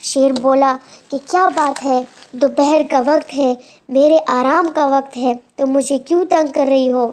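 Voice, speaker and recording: Woman playing an imperious lion king, high-pitched. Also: steady tone, unhurried, a little mellow. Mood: irritated, commanding. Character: imperious male lion king, irritable, hungry for prey. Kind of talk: children's moral storytelling.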